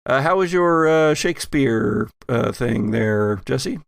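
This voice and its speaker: A man's voice: mid-range voice, expressive, takes it slowly, creaky, clear, resonant tone, dry recording, clean studio sound. A man chatting casually as a podcast host and game master. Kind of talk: casual chat.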